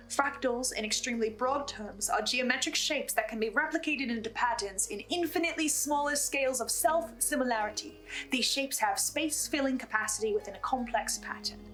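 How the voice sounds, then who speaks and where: A woman's voice: high voice, lively intonation, moderate pace, a bit bright, faint music behind. A woman hosting a commentary in a dramatic, emphatic manner, speaking to the listener as she lays out theories. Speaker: host recapping a horror audio drama. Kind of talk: theorizing commentary, scripted with asides.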